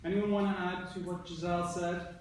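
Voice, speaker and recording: Higher-voiced male teacher in an echoey room. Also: little pitch movement, brisk. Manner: instructive, repeats and rephrases, questions listeners. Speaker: elementary school teacher. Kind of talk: interactive read-aloud to a class of young children. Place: indoor classroom. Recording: roomy, echoey, distant mic with background noise.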